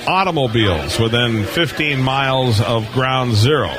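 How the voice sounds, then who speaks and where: A man chats on a live radio show, asking questions in a halting way with frequent restarts. His voice is mid-pitched. A crowd is clearly audible around him. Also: animated. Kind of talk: casual radio chat. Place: convention floor booth. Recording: live broadcast with crowd behind.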